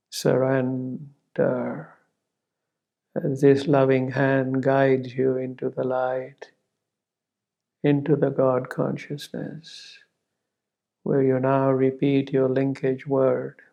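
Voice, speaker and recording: Male guide for spiritual meditation: reverent, unhurried, steady in pitch with noticeable fry, on a dull recording. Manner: gentle, reverent, measured with long pauses and repeated words.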